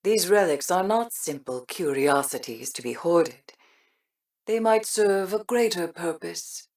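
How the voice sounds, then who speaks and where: An actress voicing an aloof, cryptic ancient ship mind in a video game, speaking in a hushed way. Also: female voice, lowish voice, unhurried, far from the mic.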